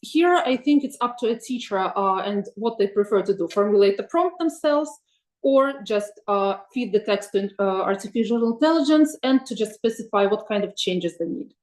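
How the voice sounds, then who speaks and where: A woman's voice: medium pitch, lively intonation, moderate pace, darkish tone. Woman, webinar presenter, hesitant and friendly delivery, roomy sound. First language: Russian. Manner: thoughtful, many ums and uhs, asks for next slide. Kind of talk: presenting slides in an online webinar.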